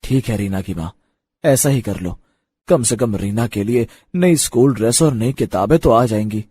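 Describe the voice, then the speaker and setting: A man playing a poor, caring vegetable-seller father in a children's cartoon story, earnest and resigned, with a slightly husky voice. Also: on the low side, moderate pace, warm tone.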